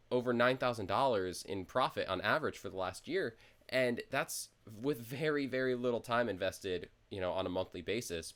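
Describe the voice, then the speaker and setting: Man, podcast host, deep low voice, enthusiastic, asks questions, casual chat. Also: fairly bright tone. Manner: enthusiastic, steering the conversation with questions.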